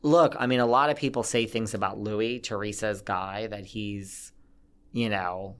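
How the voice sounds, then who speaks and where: A man's voice: fairly low pitch, steady tone, unhurried, smooth. A man from Connecticut, a podcast guest, telling gossip stories in a chatty, emphatic way. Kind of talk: casual gossip chat.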